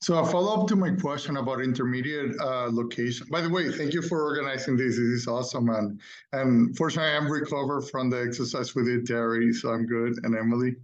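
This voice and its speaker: Through slightly dull, lo-fi call audio comes a man. He is a participant asking questions casually, inquisitive and appreciative. His voice is of middling pitch. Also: fast. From Dominican Republic. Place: online video call.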